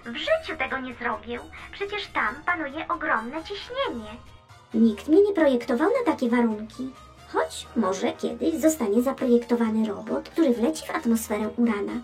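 A talkative space probe in a children's radio play. She is high-pitched and very animated, explaining with curiosity and a wry, teasing edge. Faint music plays behind her. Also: moderate pace. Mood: wry, exasperated. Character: talking female space probe, chatty, sardonic, curious explorer.

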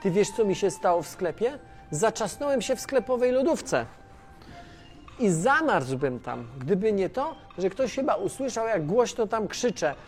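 An actor playing an excitable, scatterbrained, inquisitive man who rambles and repeats himself in a children's educational audio drama. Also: high-pitched, normal speed, soft background music. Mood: flustered, excited. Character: Tomasz, scatterbrained, excitable, inquisitive male friend of space probe. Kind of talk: children's educational radio play.

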